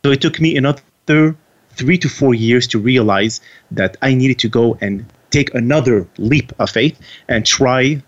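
A man's voice: mid-range voice, unhurried. Earnest Quebec French man, slightly muffled. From Quebec, Canada. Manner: earnest, with frequent restarts. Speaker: guest author. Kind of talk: interview answers.

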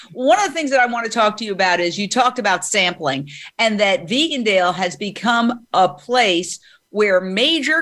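Female interview host, higher pitch, enthusiastic and opinionated. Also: a bit bright. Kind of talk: interview conversation.

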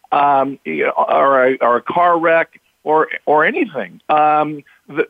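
A man with a raspy, slightly hoarse voice, a little higher than most men, answers questions earnestly and in halting bursts. The sound is thin and phone-like, close and without any room to it. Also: dry recording. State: recovering from illness, slightly hoarse. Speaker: interview guest, author. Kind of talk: remote interview. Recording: tinny phone-line audio, dry.

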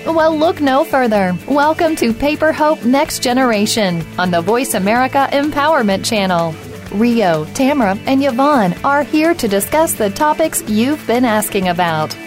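A woman reading a scripted radio show intro in a smooth, inviting promo style. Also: fairly high pitch, expressive, moderate pace, soft background music. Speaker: show announcer.

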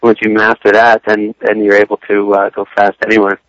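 A man's voice: on the low side, monotone, a bit bright, no echo. Laid-back male racer on a dull line. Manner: laid-back, laughing, halting. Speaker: guest, motorcycle racer. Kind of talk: radio interview.

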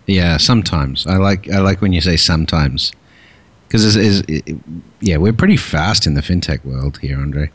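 A man's voice: sits low, normal speed, dry recording. A man from Australia hosting a podcast, chatting casually with lots of fillers and restarts. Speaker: podcast host. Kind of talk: casual interview chat.

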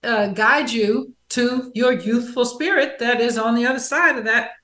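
A woman guest on a radio talk show, speaking emphatically and affirming often, sometimes in quick bursts. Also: a little high, a bit bright. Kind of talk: casual conversation. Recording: echoey room sound.

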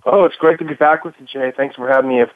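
A man's voice: medium pitch, fairly even intonation, fast talker, no echo. A male guest with a slight cold, heard through a somewhat dull, muffled line that takes the shine off his voice. He speaks fluently and sounds dry and close. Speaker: guest commentator. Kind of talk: radio interview.

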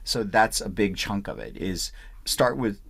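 A man's voice: fairly low pitch, a little slow, creaky, a bit bright, husky. A man from Punjab in India, a doctor answering interview questions in an explanatory way. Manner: explanatory, repeats "I want" phrases. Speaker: physician.

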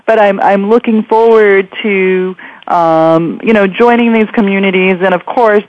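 A woman hosting a conversational interview, speaking in a measured, wordy way with pauses mid-thought. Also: mid-range voice, no room sound, muffled recording. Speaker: interview host.

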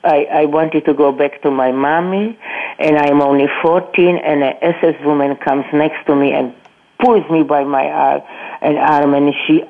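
Older woman, halting, over a phone line. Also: deep voice, steady tone. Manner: halting, with many restarts and repeated words; non-native English grammar. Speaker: Holocaust survivor guest. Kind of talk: personal testimony in an interview.

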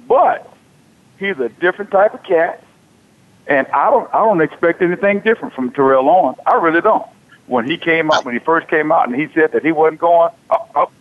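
A man in his forties hosts sports talk radio, his voice fairly high and swinging up and down with lively energy. He talks loosely and colloquially, stumbling over restarts. The sound is thin and tinny, like a phone line. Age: 40s. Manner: animated, repeats himself, colloquial. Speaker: radio show host.

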